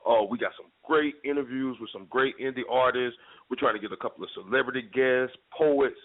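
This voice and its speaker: A man who hosts a radio show, talking conversationally and haltingly as an interview guest. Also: medium pitch. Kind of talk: conversational promotional interview talk. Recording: thin phone-like line.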